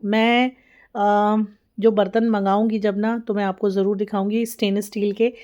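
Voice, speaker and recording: A woman's voice: medium pitch, little pitch movement, normal speed, a little mellow. A young woman chatting casually to viewers while cooking in her home kitchen, explaining each step as she goes. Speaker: vlogger. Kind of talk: casual cooking vlog chat.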